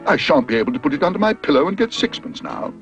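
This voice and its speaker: A man's voice: fairly high pitch, very animated, fast talker, lo-fi sound, faint music behind, pitched above the performer's own voice. A man voicing a polite, earnest young male bear in a storybook reading, with prim, proper diction. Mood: dismayed.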